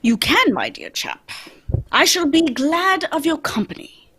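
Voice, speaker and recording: A woman playing a shrewd, courteous gentleman detective in a radio mystery, speaking formally and probingly. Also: sits high, huge pitch swings, measured pace, heavily acted. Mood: assured, genial. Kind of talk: old-time radio mystery acting, read live.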